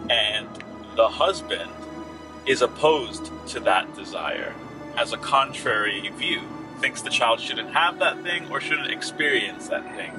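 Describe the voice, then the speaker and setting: A man co-hosting a family vlog, explaining his views in a measured, deliberate way. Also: medium pitch, lively intonation, distant mic, music in the background, rough recording. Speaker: vlog co-host. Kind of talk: casual chat.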